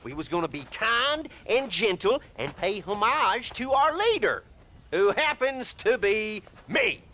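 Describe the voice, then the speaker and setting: A pompous frontier townsman with a drawl who has crowned himself leader. His bright, sharp voice swoops high and low as he blusters. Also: big pitch swings, a little slow, heavily acted. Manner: blustering and self-important. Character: pompous self-crowned male townsman, bossy and vain.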